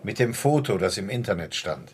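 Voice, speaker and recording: A man playing a seasoned, matter-of-fact police inspector in a radio crime drama, with a husky, gravelly voice. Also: lowish voice, very animated, brisk, slight background noise. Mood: businesslike. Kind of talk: naturalistic German radio crime drama dialogue.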